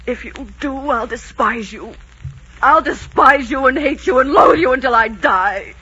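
A woman's voice: sits high, a little slow, sharp tone, husky, heavily acted. A woman playing a passionate, desperate young innkeeper's daughter, pleading emotionally in 1940s radio melodrama style. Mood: anguished defiance. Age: young adult. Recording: dull, scratchy 1940s radio recording.

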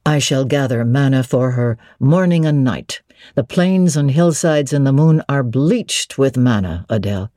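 A woman voicing a brooding, teasing middle-aged gentleman, commanding and whimsical, in a dramatic audiobook reading of a classic novel. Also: extremely low pitch, lively intonation, a little slow, no echo, studio quality. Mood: playfully fanciful. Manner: whimsical, authoritative, theatrical.

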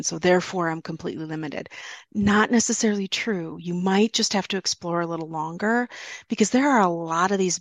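A woman's voice: lowish voice, dry recording. A woman guest on an interview show, talking through business acquisitions in a conversational, explanatory way. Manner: explanatory, thinks aloud with restarts.

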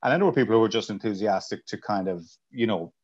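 An Irish man filmmaker talking thoughtfully in an interview, restarting sentences and pausing often. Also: on the low side, darkish tone. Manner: thoughtful, with many restarts and "uh" pauses. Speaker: filmmaker, guest. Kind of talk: casual interview chat. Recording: dull lo-fi audio.

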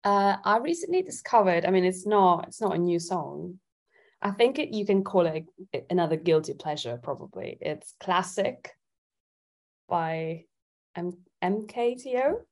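A woman's voice: fairly low pitch, lively intonation, a little slow. A woman from Italy chatting casually and a little giggly as an interview guest, feeling her way through her phrases. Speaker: interview guest, singer-songwriter.

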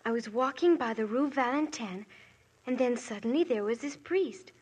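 A breathy, high-pitched schoolgirl, answers questions with polite eagerness. She speaks in the crisp, earnest style of 1950s radio drama acting. Her voice is airy and thin rather than clear. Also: moderate pace, a little mellow. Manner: polite, keeps adding "monsieur". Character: polite cheeky schoolgirl witness.

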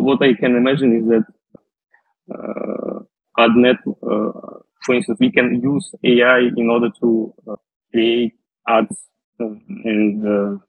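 A man with a Russian accent giving halting, hesitant answers as an interview guest. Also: medium pitch, husky. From Russia, western Siberia. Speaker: podcast guest, data scientist. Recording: muffled phone-like line, roomy echo.

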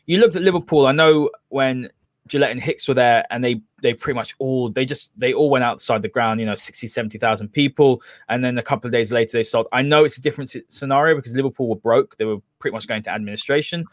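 A man's voice: mid-range voice, quick pace, no room sound, studio quality. A man with a London accent hosting a football podcast, talking in a casual, opinionated discussion style. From London area, England.

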